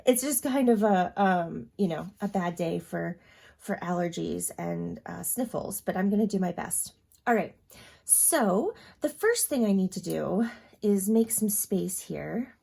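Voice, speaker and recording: A woman's voice: medium pitch, vocal fry, mellow, slightly rough. A woman hosting a crafting tutorial at home, chatting casually with lots of ums and asides, a little sniffly from allergies. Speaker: crafting channel host.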